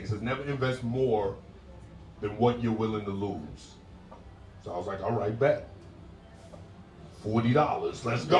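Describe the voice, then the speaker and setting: A man in his forties doing stand-up on a club stage, relaxed and conversational as he tells his stories. He picks up energy and shouts when he acts out characters. The sound is roomy and picked up from some distance away. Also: mid-range voice. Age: 40s. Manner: laid-back storytelling, bursts into loud shouted impressions. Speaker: stand-up comedian. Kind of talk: stand-up set.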